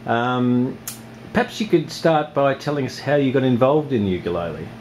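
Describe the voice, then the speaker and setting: A man's voice: mid-range voice, animated, normal speed, a little hiss or hum, poor audio. A man asking questions as an interviewer in a casual, conversational style.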